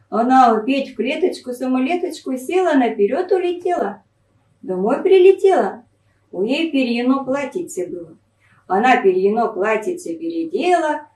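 Woman, Pinega dialect of northern Russia, folk tale reading, very lively intonation, echoey room. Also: high voice. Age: middle-aged. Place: small library room, indoors. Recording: echoey room, distant microphone.

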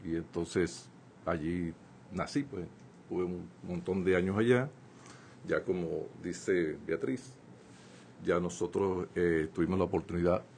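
A middle-aged Venezuelan man answering as a guest writer in a radio interview, speaking haltingly and repeating phrases. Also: low-pitched, a little mellow. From eastern Venezuela. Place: small radio studio, indoors. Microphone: studio microphone on table. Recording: clear background noise, live webcast.

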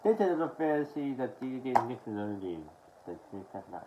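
A young adult man from Australia talking haltingly and with mumbled words in a casual show-and-tell to camera at home. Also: mid-range voice, very animated. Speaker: collector showing his media haul. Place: small furnished room at home, indoors. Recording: dull lo-fi sound with background noise.

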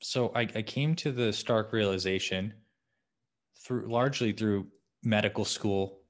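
A man's voice: fairly low pitch, unhurried. A man in his thirties, a health expert, answering interview questions over a video call. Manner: agreeable, frequent short affirmations. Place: home office.

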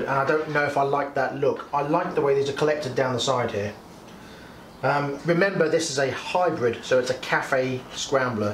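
A man in his forties, hosting, explaining a motorcycle build to camera in a casual, chatty way while working outdoors by a shed. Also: medium pitch. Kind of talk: casual workshop chat. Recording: echoey, distant, faint noise.

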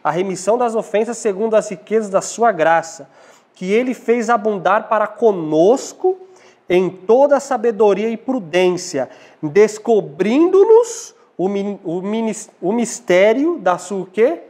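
High-pitched young Brazilian man preaching. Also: expressive, darkish tone. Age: young adult. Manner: didactic, pausing to quiz listeners with questions. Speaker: preacher giving a lecture at a church congress. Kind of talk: Bible teaching sermon with scripture reading. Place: small indoor church hall at a lectern. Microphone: lapel.

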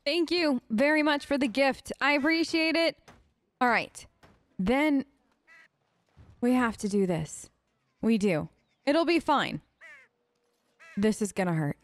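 A woman's voice: high voice, lively intonation, takes it slowly, pauses often, no echo. Female let's play host, casual earnest role-play, dry close mic. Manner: informal, earnest, empathetic. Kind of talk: casual role-play game commentary, talking in character.

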